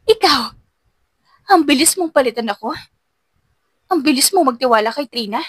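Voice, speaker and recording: A woman voicing a furious, heartbroken young woman in an audio drama, shouting accusations in anguish. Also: high-pitched, lively intonation, moderate pace, breathy edge, dry recording. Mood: angry, hurt.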